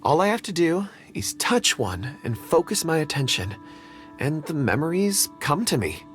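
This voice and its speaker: Lively, earnest, polite young man. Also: a little high, normal speed, faint music behind. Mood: earnest explaining. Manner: hesitant, courteous, earnest. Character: polite, earnest young man who reads ore memories. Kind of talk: video game voice acting.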